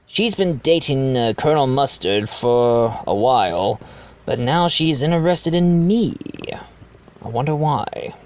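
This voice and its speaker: Man acting a slow, expressive genial party host. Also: medium pitch, a little hiss or hum, lightly acted. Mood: confiding. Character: genial widowed doctor hosting a murder-mystery dinner party. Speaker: narrator and host of the dinner party. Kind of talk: fan audio drama acting with narration asides. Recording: dull lo-fi home recording.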